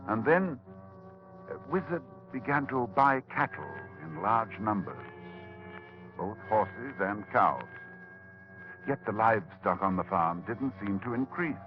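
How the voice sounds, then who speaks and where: A man narrating a horror radio drama in a grave, measured storytelling manner. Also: on the low side, animated, over music. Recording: dull old radio broadcast.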